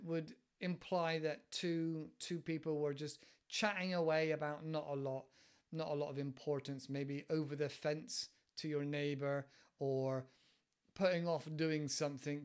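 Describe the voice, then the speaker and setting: Brief: English man in his forties, casual podcast teacher, rambling delivery, a touch high-pitched, home mic. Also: normal speed, clean tone. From England. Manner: relaxed, rambling, many fillers and restarts. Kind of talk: casual explanatory monologue. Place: living room at home. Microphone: headset with desk mic, webcam.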